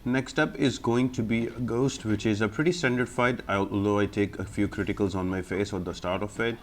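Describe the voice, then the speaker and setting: A man's voice: lowish voice, fairly even intonation, moderate pace. A man giving explanatory gameplay commentary as a guide host, in an instructional, step-by-step manner.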